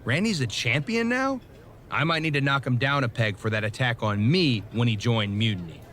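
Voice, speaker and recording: A man's voice: medium pitch, expressive, moderate pace, fairly bright tone, a little hiss or hum, lightly acted. A man playing a determined, mildly exasperated wrestling hero in a video game cutscene, speaking tersely as the plain-spoken straight man.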